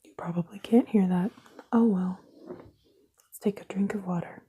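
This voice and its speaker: A woman whispering throughout an ASMR video indoors at a table, making sarcastic, self-mocking jokes in a soft unvoiced whisper, recorded close up on a camera mic. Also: mid-range voice, steady tone, a little slow, a little hiss or hum.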